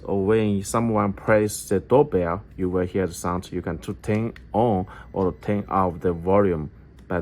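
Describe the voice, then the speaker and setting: Male demonstrator, lowish pitch, halting English, step-by-step tutorial delivery. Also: a little mellow. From China. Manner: halting non-native English with repeated phrases. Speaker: product demonstrator for an electronics company.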